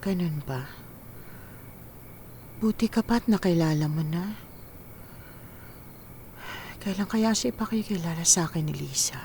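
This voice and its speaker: A woman's voice: fairly low pitch, unhurried, long pauses, mellow, a little hiss or hum. A woman acting an anxious, ailing mother in her forties in a Filipino radio drama, hesitant and stammering when worried. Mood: weary, homely. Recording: background music under the voice.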